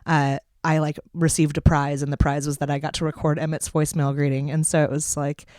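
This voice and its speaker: Low-voiced woman, dry podcast mic. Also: little pitch movement, fairly quick, darkish tone, no echo. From Seattle area. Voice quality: smooth radio voice. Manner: laughs often, trails off and restarts mid-sentence. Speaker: podcast co-host. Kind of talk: casual chat.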